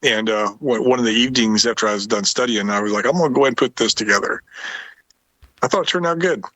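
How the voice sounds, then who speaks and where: A man's voice: medium pitch, fast, fairly bright tone, no echo. A man chatting casually as a show contributor, with an informal, laid-back, folksy way of talking. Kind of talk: casual chat.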